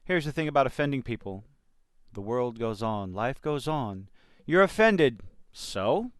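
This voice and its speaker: A man's voice: medium pitch, sing-song, unhurried, clear, resonant tone, no echo, clean studio sound. A man giving opinionated commentary in a blunt, sardonic and emphatic manner. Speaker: commentator reacting to entertainment news. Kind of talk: opinionated commentary with quotes read out.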